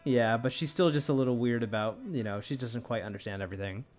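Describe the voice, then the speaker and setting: A man's voice: mid-range voice, fast, a little mellow. A man chatting casually as a sarcastic, sweary co-commentator who laughs a lot. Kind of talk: casual joking chat.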